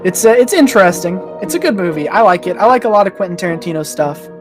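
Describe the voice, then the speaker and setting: A man's voice: high voice, expressive, quick pace, over music. A man talking casually and emphatically as a podcast panelist, telling stories in a colloquial way. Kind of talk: casual chat and storytelling.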